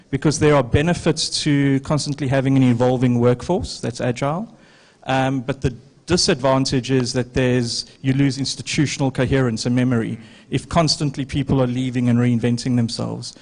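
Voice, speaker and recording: A man speaks at a middle pitch as a conference panelist, laying out his points in a measured, explanatory way. He pauses often with fillers while he thinks. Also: darkish tone. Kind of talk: panel discussion answer.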